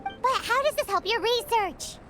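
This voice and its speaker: A tiny, excitable fairy girl for a video game. The voice is extremely high and childish, swinging wildly in pitch as she blurts things out, over loud music. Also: unhurried. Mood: curious. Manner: squeaky, childish, expressive, blurting. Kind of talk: scripted video game character dialogue.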